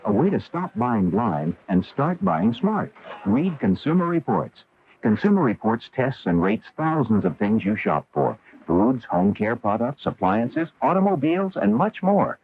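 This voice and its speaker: Male pitchman, mid-range lively voice, emphatic selling, thin hissy AM broadcast. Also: measured pace. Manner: emphatic, salesmanlike. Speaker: commercial announcer. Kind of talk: scripted radio ad pitch. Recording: tinny, noisy 1980s AM radio broadcast.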